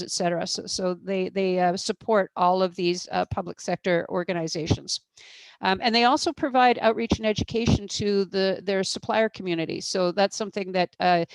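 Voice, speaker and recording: A woman's voice: medium pitch, no echo, poor audio. A woman presenting in a webinar as a procurement expert, explaining at length with frequent fillers and restarts.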